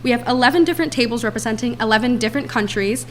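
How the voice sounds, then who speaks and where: A woman's voice: a little high, a little hiss or hum. A young woman, a student, reading a prepared report aloud to a town council in earnest. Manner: earnest, reads quickly from notes. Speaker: student speaker.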